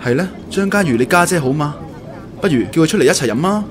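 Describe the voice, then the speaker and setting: A man's voice: a little high, expressive, fast talker, a crowd in the background, noisy background, close to an everyday voice. A man playing an earnest, emotional young man in a radio drama, speaking with a Hong Kong Cantonese accent. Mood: casual. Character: earnest, regretful young man confronting his love.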